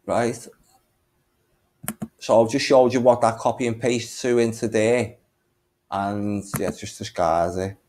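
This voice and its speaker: A man's voice: lowish voice, steady tone, takes it slowly, long pauses, a little mellow, slight background noise. A man giving casual, rambling commentary as he shares his screen, thinking aloud as he goes. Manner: rambling, thinking aloud, muttering little filler tunes. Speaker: video maker and narrator.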